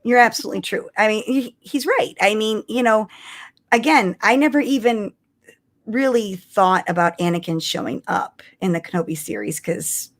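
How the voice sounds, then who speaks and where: A woman's voice: medium pitch, normal speed. Chatty woman co-hosting a casual livestream. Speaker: co-host of a fan show.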